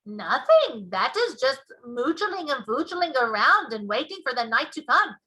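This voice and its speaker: A woman voicing a big friendly male giant from a children's storybook, folksy and excitable, with muddled grammar and made-up words. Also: high voice, a little slow, full character voice, poor audio. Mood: matter-of-fact. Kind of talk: children's storybook read-aloud.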